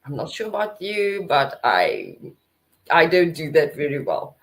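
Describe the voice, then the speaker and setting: A South African woman hosting a podcast, chatting in a relaxed way and often checking in with her guests. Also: low voice, measured pace. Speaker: podcast host. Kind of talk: casual chat.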